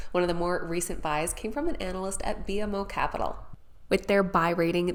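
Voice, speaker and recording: A woman hosting a stock market video, speaking in a nasal, congested voice from a head cold as she presents a scripted financial rundown. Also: fairly low pitch. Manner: steady, informative delivery of figures.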